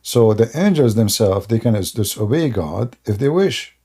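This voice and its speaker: A man, hosting a live-streamed religious debate and explaining slowly with many fillers and restarts. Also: on the low side, animated, dark tone, slightly rough. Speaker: debate host.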